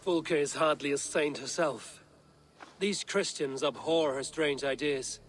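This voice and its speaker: An actor playing a stern, blunt Viking warrior leader in a video game, terse and commanding. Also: male voice, fairly high pitch, measured pace, a bit bright, long reverb tail, a little hiss or hum. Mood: terse, inquiring.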